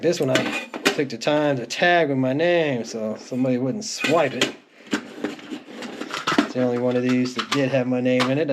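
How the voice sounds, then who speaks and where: A middle-aged man narrates a collection in a casual, unscripted, reminiscing way, as if chatting through it. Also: mid-range voice. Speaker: music collector presenting their collection. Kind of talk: casual show-and-tell narration. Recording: clearly noisy home recording with clicks.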